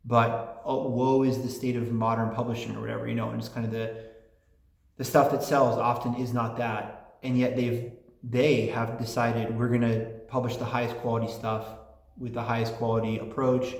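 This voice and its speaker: A man's voice: mid-range voice, little pitch movement, normal speed, gravelly, darkish tone, roomy sound. A man hosting his own channel, reading listener letters aloud and answering them in a rambling, chatty way with many restarts. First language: English and Polish. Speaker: channel host answering fan mail.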